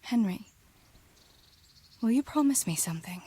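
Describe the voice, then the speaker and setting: An actress playing a tender, gently teasing young village woman in a medieval video game, soft-spoken and earnest. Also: fairly high pitch, expressive, unhurried, breathy edge, heavily acted. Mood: tender, worried. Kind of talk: naturalistic video game dialogue acting.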